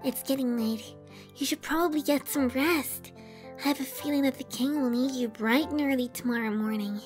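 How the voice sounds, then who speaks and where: A woman voicing a gentle, sad young pony queen in an animated fan drama, speaking hesitantly and with self-doubt. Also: high voice, normal speed, faint music behind, natural voice. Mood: subdued.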